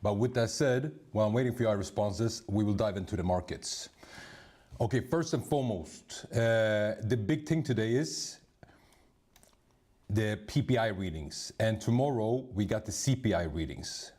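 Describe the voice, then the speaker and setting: A man's voice: fairly low pitch, moderate pace. A man with a strong voice casually hosting a live stream, greeting viewers like friends. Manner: relaxed, addresses viewers as bro and my people. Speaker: livestream host.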